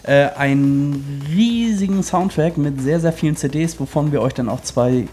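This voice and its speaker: A man's voice: a little high, normal speed, a little mellow. A man, the show's editor sitting in as guest, talking keenly about games with hesitant fillers. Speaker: guest co-host, studio editor. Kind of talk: casual chat about video games.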